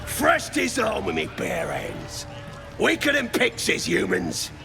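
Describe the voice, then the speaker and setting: Shrill, gravelly bullying goblin. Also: male voice, huge pitch swings, slow, over music, exaggerated voice. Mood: belligerent, scornful. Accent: English working-class. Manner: belligerent, taunting. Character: boastful, bullying goblin brute who loves drink. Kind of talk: video game character acting.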